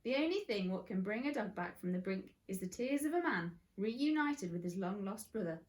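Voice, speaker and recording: Woman putting on a nasty, blustering old villain man, animated. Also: medium pitch, moderate pace, close to an everyday voice, poor audio. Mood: mocking. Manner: rough ungrammatical speech, scornful. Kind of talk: children's storybook reading.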